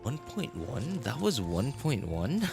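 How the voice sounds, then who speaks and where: A man's voice: medium pitch, huge pitch swings, takes it slowly, gravelly. A man live-streaming a game late at night, chatting with viewers in a rambling, hesitant way. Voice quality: rambling, hesitant, lots of fillers and restarts. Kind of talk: casual live-stream chat while gaming. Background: game music.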